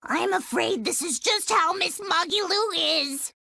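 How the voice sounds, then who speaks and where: Tiny whiny game critter, super high n piercing, kinda creaky. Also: female voice, lively intonation, measured pace, pushed and bright, no room sound, studio quality, full character voice. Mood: whimpering. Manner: childish, whiny. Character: small whimpering cartoonish male spirit creature, servant of a witch. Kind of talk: video game voice acting.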